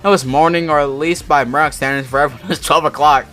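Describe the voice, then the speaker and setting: A man's voice: a little high, steady tone, fast talker, bright, forceful tone. Sweary man reading aloud over music. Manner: sweary, mocking commentary, stumbling over the text. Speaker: amateur fan fiction reader. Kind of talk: casual read-aloud of a story with asides. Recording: music playing behind the voice. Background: background music.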